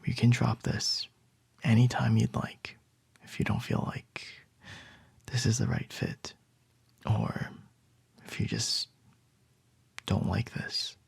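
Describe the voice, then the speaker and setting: A man playing a calm, charming male CEO boss in an ASMR roleplay, soft and reassuring with a light teasing edge. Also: fairly low pitch, slow pace, gravelly, pauses often, breathy edge, dry recording, full character voice. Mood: soothing.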